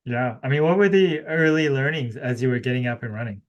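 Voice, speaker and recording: A man hosting a podcast interview, asking relaxed questions with a lot of fillers. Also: mid-range voice, a little fast, lo-fi sound. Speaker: podcast host. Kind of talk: casual interview.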